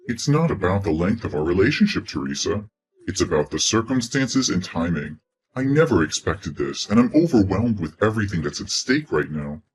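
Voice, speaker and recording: A man's voice: low voice, sing-song, moderate pace, a little hiss or hum. An actor in his twenties playing a selfish, career-focused young man who speaks in a defensive, dismissive way. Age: 20s. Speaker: reluctant boyfriend. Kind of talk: scripted relationship drama.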